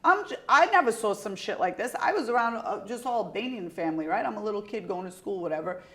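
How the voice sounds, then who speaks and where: A woman hosting a podcast, telling stories in an animated, casual way with frequent swearing. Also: medium pitch, fast. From United States. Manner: animated storytelling, swearing, laughing. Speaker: podcast host. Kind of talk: casual interview chat.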